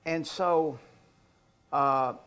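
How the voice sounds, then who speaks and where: An older man in his sixties or beyond who stutters, giving an informal talk as an author in a halting way with repeated words. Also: fairly high pitch, a bit bright, clear, resonant tone. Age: 60s or older.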